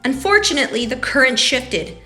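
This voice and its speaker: A woman hosting story time for young children, talking and reading aloud in an animated way, addressing them as friends. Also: high voice, brisk. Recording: roomy echo, faint music.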